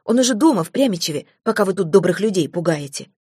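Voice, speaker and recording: A woman reading an audiobook, voicing a fierce, defiant young red-haired woman who shouts in a husky voice. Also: lowish voice, animated, fast talker, dry recording, studio quality. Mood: triumphant.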